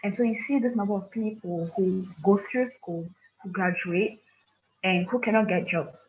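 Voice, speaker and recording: A woman's voice: medium pitch, moderate pace. A woman with a Cameroonian accent giving an informal talk as a guest speaker at an online conference. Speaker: tech entrepreneur, guest speaker. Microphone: online conference call. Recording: muffled online call with clear noise.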